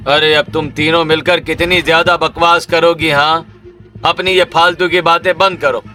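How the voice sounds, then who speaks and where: A man's voice: fairly high pitch, little pitch movement, moderate pace, bright, forceful tone, soft background music. A man playing a defensive, scolding young village husband in a cartoon story. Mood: angry, bossy. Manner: scolding, bossy, dismissive.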